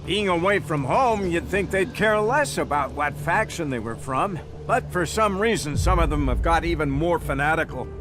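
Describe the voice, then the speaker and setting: Man acting a high-voiced, fussy, anxious city official. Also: normal speed, hardly any pauses, music in the background, close to an everyday voice. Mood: earnest, weary. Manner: flustered, stammering when rattled. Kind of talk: video game dialogue acting.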